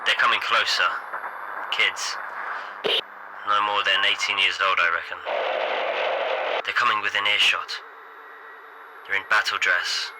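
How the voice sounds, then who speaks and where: An actor playing a British army radio operator in the war, reporting watchfully in a dramatic transmission. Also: male voice, fairly low pitch, little pitch movement, unhurried, pauses often, natural voice. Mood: watchful, urgent. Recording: very noisy crackling field-radio transmission.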